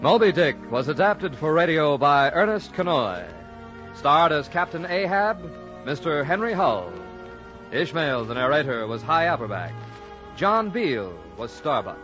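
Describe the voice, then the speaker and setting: An American man working as a radio announcer, reading a script formally in a 1940s broadcast style with measured diction. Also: on the high side, big pitch swings. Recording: old muffled radio broadcast, faint music.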